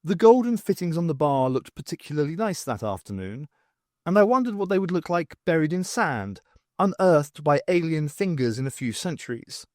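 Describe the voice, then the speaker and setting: Male audiobook reader, highly animated intonation, wry dramatic emphasis, dry close sound. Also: no room sound. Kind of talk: expressive first-person fiction narration.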